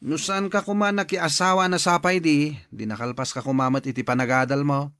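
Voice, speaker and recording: A man's voice: a little high, fairly even intonation, fairly quick, fairly bright tone, no echo, clean studio sound, lightly acted. A man playing an easygoing, helpful older cousin in an Ilocano radio drama. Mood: breezy, lively.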